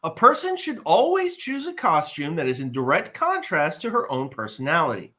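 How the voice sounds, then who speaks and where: A man's voice: fairly high pitch, huge pitch swings, moderate pace, bright, forceful tone, heavily acted. A man voicing a bossy, scornful young cartoon girl in a scolding, blunt manner at a live table read.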